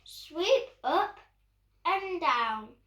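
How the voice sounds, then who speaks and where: A woman's voice: very high voice, big pitch swings, extremely slow, a bit bright. A young girl with a British accent reading a picture book aloud in a playful storytime style. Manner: playful, acts out bird calls and actions. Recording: roomy echo, distant microphone.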